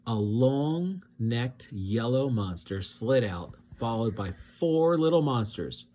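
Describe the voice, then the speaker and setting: A man reading a children's storybook aloud as a teacher, with dramatic and deliberate phrasing. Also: mid-range voice, expressive, warm tone. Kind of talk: expressive children's storybook narration.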